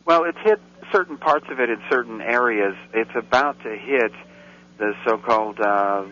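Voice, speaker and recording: A man's voice: medium pitch, normal speed, bright, forceful tone. A man talking as a guest on a radio show, rambling and getting indignant, on a dull, faintly hissy recording. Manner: rambling, grows heated and indignant. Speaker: guest commentator. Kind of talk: casual radio chat. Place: Central Europe.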